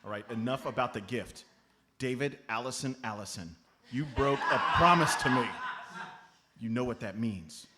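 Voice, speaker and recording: A man's voice: medium pitch, expressive, moderate pace, close to an everyday voice. A man playing an earnest, bossy amateur sleuth, stern and fussy, in a live comedy radio play. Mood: exasperated. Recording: noisy live stage recording.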